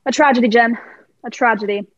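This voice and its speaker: A grave gentleman squire played by a woman speaks in a somewhat high, dismayed voice. The homemade radio play recording sounds dull and lo-fi. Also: a little fast, close to an everyday voice. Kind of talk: amateur radio play acting.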